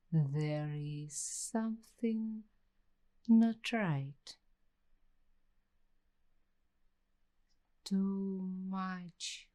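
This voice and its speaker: A woman playing an uneasy, suspicious young waitress in an ASMR roleplay, speaking to the listener in hushed, halting phrases. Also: low-pitched. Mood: unsettled. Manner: hushed, halting delivery in short broken phrases with long pauses.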